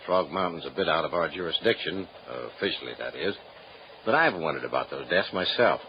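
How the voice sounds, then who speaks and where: A man's voice: a bass voice, animated, fairly quick, a little hiss or hum. An actor playing a folksy, easygoing small-town male police lieutenant in an old radio drama, on a dull lo-fi broadcast. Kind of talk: 1950s radio drama acting.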